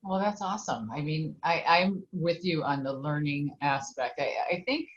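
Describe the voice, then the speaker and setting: A woman hosts an interview in a chatty, casual way, filling her questions with "you know" and "I mean." Her voice sits a little low for a woman. The sound is dull and lo-fi. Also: moderate pace. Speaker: interview host.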